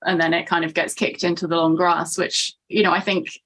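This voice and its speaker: A woman's voice: lowish voice, flat delivery, fairly quick, a bit bright. A woman researcher who gave the seminar, answering an audience question in a reflective, conversational way. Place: online seminar, video call.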